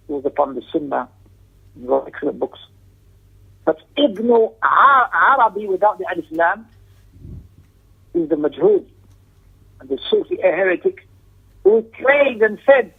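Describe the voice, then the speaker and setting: Husky, high-pitched man lecturing on religion. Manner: emphatic, repeats phrases for stress. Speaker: religious teacher giving a lesson. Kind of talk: Islamic lecture, explaining a text with Arabic recitation and quotations. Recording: tinny, narrow phone-like lecture audio.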